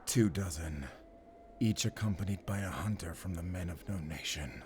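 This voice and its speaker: An actor playing a desperate, defiant werewolf hunter in an audio drama, intense and commanding, with a pleading edge. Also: male voice, low-pitched, moderate pace, darkish tone, slight background noise, lightly acted. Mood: strained, weak. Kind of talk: cinematic audio roleplay acting.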